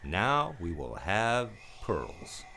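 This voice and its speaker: A man's voice: sits low, wildly swooping pitch, extremely slow, pushed and bright, slight background noise, full character voice. A man playing a wry, world-weary private detective in a noir radio play, terse and sardonic. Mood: wry, probing. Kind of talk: radio noir acting with first-person narration. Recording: radio play over guitar music.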